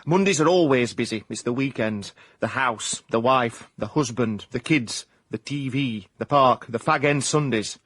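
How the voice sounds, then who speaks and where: An actor playing a wry ex-miner private eye in a radio comedy, with a South Yorkshire accent and deadpan, mock hard-boiled delivery. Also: male voice, medium pitch, a little slow, few pauses, dry recording. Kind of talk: comic radio drama acting.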